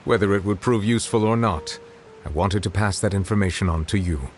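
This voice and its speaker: Guy doing a deep solemn judge for a game, very courteous and formal, keeps it reserved. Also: fairly quick, close to an everyday voice. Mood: pensive. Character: solemn, courteous male judge of lofty bearing. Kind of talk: video game character dialogue. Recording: game music behind voice.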